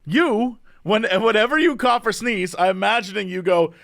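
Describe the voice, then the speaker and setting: Man, podcast co-host, high voice, lively, teasing, dry banter, dry close sound. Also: moderate pace, vocal fry, no room sound. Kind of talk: casual chat.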